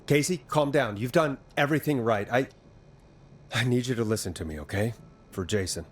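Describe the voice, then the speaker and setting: An actor playing a steady, reassuring but urgent radio host in a horror game drama. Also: male voice, mid-range voice, normal speed, husky, natural voice. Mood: reassuring, concerned. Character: calm, earnest male 1980s radio DJ in crisis.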